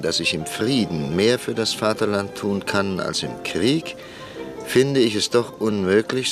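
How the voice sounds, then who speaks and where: A blunt, sardonic middle-aged parliamentarian in a classic radio play. His voice sits a little low and stays measured, even when he is scornful. Music plays behind him. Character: Schindler, middle-aged man, blunt, bitter Reichstag deputy. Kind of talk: 1960s radio drama acting.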